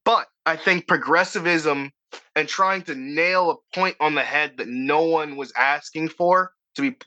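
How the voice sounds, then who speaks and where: A man's voice: a little high, a little slow, bright, forceful tone, muffled recording. A man with a Boston accent, an author talking in a casual podcast conversation, profane and rambling with frequent restarts. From Boston area, United States. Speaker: author guest.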